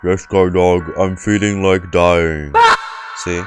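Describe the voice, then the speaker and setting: Very deep-voiced man reacting to a game. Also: fairly even intonation, slow pace, faint music behind. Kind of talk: casual live reaction commentary with dramatic read-alouds.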